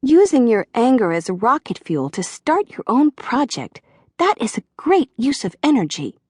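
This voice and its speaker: A woman's voice: a little high, very animated, normal speed, breathy edge, no room sound, studio quality, voice pushed up. A woman doing a gushing little-girl-voiced therapist. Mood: encouraging. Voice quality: slightly munchkin, girlish. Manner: gentle, gushing.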